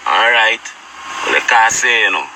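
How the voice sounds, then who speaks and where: A man's voice: lowish voice, expressive, moderate pace. A man with a Trinidadian accent giving an emphatic casual commentary on the morning news. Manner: emphatic, repeats "right?" and "here". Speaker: online commentator. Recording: distant mic with air conditioner hum.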